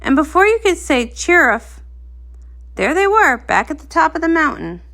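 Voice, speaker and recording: A woman narrating a comic read-aloud in an expressive storytelling style. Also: high-pitched, fairly quick.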